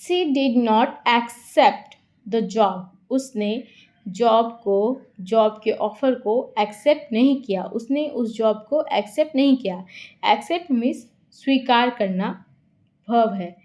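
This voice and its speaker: A woman teaching English vocabulary in Hindi, repeating each word and example sentence slowly to explain it. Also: a little high, a little mellow. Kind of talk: slow explanatory lesson.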